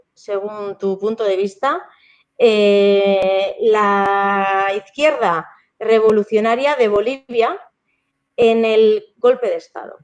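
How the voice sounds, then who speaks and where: A woman hosting a live online interview, speaking hesitantly and translating questions from the audience. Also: medium pitch.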